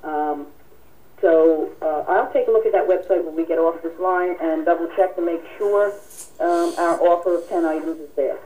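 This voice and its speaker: A woman with a mellow, fairly low voice leads a telephone town hall. She is polite and formal, pausing often as she answers callers. She sounds some way from the mic on a conference line. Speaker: union president hosting a call-in. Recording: distant radio-like telephone conference audio. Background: telephone conference call.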